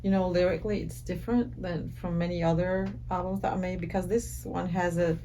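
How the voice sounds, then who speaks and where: A woman's voice: on the low side, level tone, fairly quick, dark tone, slight background noise. A woman, a singer-songwriter, talking thoughtfully about her album, with restarts as she looks for words. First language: Danish. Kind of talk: casual conversation. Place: recording studio.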